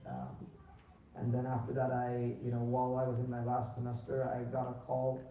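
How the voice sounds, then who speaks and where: A young man speaks in a deep-toned, very mellow voice that stays flat and steady, wandering loosely through his story with frequent fillers and restarts. He is picked up by a distant mic in a large, strongly echoing mosque hall. A faint hiss of noise sits under the sound. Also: normal speed. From United States, born in Pakistan. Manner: relaxed, rambling, many filler sounds and restarts. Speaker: imam candidate being interviewed. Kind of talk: casual spoken interview answers.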